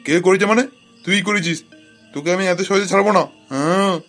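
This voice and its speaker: A man voicing a vengeful male ghost in an older man's body, with drawn-out, menacing threats, for a comic horror cartoon. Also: high-pitched, fairly bright tone, soft background music. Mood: angry, threatening.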